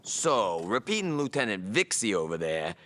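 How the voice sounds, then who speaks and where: A man's voice: on the low side, very animated, normal speed, a bit bright. A man playing a sarcastic, exasperated adventurer sidekick with a wry delivery in a fantasy audio drama. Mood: sardonic. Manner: wry, sardonic.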